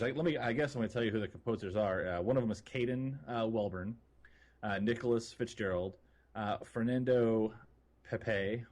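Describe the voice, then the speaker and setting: Guy with a lowish voice on a gaming podcast, casual and into it, kinda rambly. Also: a little mellow. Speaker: podcast co-host.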